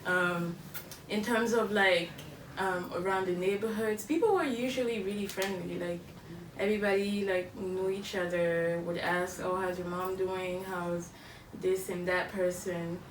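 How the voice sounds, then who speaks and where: Flat-voiced woman, echoey room. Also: on the low side. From Cameroon. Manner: measured, self-correcting storytelling. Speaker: podcast co-host. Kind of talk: casual chat. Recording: echoey room, mic far off, background noise.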